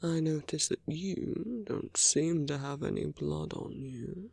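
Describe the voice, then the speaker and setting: A man voicing a charming, flirtatious villain with a tender side in an intimate ASMR roleplay, soft and teasing, often dropping to a whisper. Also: on the high side, slow, gravelly, no room sound, heavily acted. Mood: earnest, gentle.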